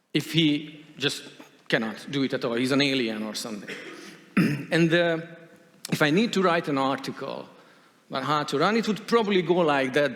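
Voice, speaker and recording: A man giving a conference talk on stage, hesitant, with many fillers and restarts. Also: on the high side. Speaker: conference speaker. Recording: long hall reverb.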